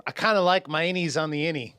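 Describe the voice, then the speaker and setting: A man plays a fatalistic, wired street mercenary in a tabletop game. His voice sits fairly high and close and dry, with cocky, slangy rambling. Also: fast talker, bright, forceful tone, clean tone, no echo. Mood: flippant. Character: reckless, flippant, drugged-up male street mercenary with fatalistic streak.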